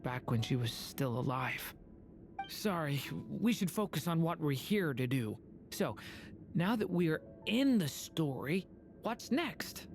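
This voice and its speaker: A woman voicing a gentle young male dragonborn youth in a game, soft-spoken and wistful. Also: low-pitched, sing-song, slow, exaggerated voice. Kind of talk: game cutscene voice acting. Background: game music.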